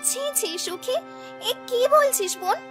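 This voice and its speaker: A woman voicing a gentle, dutiful young granddaughter who speaks softly and pleadingly in an animated folk tale. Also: extremely high pitch, soft background music. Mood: hurt, pleading. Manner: pleading, soft-spoken, quick.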